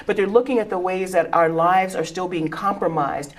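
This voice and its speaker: A woman's voice: lowish voice, normal speed. A middle-aged woman educator speaking on a discussion panel in an earnest, conversational way, often restarting words and laughing.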